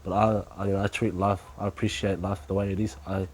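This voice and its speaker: A man's voice: low-pitched, level tone, fast talker, darkish tone, a little hiss or hum. A young man giving a reflective interview answer, repeating himself as he thinks. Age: young adult.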